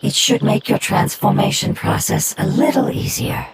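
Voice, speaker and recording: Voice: medium pitch, expressive, normal speed, vocal fry, very noisy. A solemn little forest spirit. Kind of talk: video game voice acting. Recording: loud game soundtrack playing behind. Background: loud game soundtrack music.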